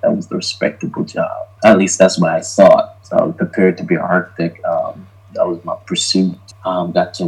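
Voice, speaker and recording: A Jamaican-born man, talking casually as an interview guest, relaxed and rambling with lots of ums. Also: low voice, slightly rough, poor audio. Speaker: interview guest, graphic designer.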